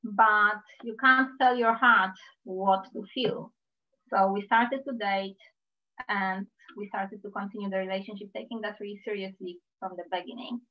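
A woman's voice: mid-range voice, steady tone. Polish-accented woman on a video call. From Poland. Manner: careful, measured, heartfelt. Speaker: invited speaker. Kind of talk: personal talk on a video call. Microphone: computer mic on a video call. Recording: slightly dull video-call audio.

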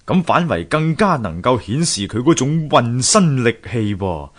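An actor playing an earnest, thoughtful sculptor husband in a Hong Kong Cantonese radio drama, musing aloud theatrically. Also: male voice, medium pitch, very animated, unhurried, a bit bright. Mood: eager, thoughtful.

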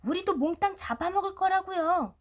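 Woman acting a high-pitched, indignant little rabbit girl. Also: animated, normal speed. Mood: alarmed, indignant. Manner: indignant, tattling, shouting. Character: feisty, suspicious, grumbling young girl rabbit, big sister. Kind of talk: storybook character voice.

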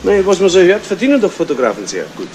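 A man's voice: high voice, sing-song, quick pace, a little mellow. A man playing a good-natured, flustered junior policeman with a Bavarian accent, colloquial and stammering, in 1970s radio drama style. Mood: friendly, teasing. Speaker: actor. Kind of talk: 1970s German radio crime drama acting. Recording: noisy old 1970s radio play recording.

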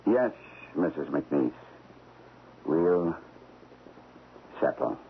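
An actor playing a guilt-ridden, crooked stockbroker in a radio drama, hesitant and highly emotional. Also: male voice, expressive, extremely slow, heavily acted. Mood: nervous. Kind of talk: 1970s radio drama acting. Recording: tinny, hissy old radio recording.

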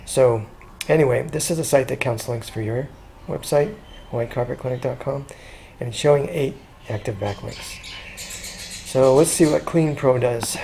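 A man talking casually through a screen-recorded walkthrough as a consultant, chatty and relaxed, with frequent ums and restarts. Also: mid-range voice, moderate pace, creaky, slight background noise. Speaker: SEO consultant pitching a business.

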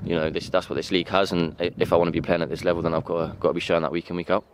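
Low-voiced young footballer, fast and flat. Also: male voice, a little hiss or hum. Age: young adult. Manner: earnest, halting with restarts. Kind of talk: post-match interview. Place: outdoor football pitch at night. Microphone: handheld interviewer mic.

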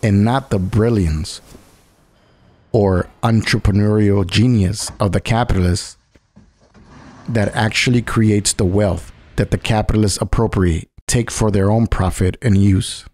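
Low-voiced man narrating a political script. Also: fairly even intonation, a little slow, darkish tone.

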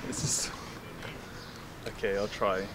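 A young man giving a relaxed, rambling vlog update outdoors on a town street, filmed on a handheld camera. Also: lowish voice, takes it slowly, heavy background noise. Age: young adult. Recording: busy street crowd behind.

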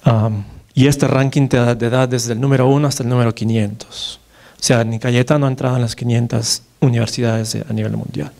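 A man's voice: medium pitch, fairly even intonation, a little fast, vocal fry, darkish tone. A Peruvian man in his thirties, a neurologist giving a conference lecture in a large lecture hall at a podium mic. He talks conversationally, with many fillers and tag questions. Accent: Peruvian Spanish. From Peru. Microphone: podium microphone, far camera.

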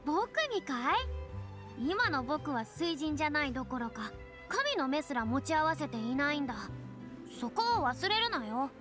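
A woman's voice: high voice, sing-song, moderate pace. An actress voicing a proud, theatrical young woman, a retired star with boyish turns of phrase, in an anime-style game. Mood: self-important. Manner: haughty, dramatic, speaks with boyish self-reference. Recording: studio voice over game music.

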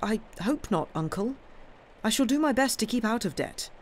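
A man voicing a sheepish young nephew in a storybook reading, meek, halting and apologetic. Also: sits high, lively intonation, slight background noise, voice pushed up. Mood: miserable, pleading. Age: young adult. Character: sheepish, apologetic young nephew, cornered about his debts.

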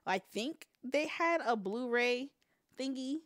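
Excitable young woman, lively swings. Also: high-pitched, takes it slowly, no echo, clean studio sound. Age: 20s. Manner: excitable, laughs loudly, swears, lots of fillers. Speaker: co-host. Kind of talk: casual chat on a livestream.

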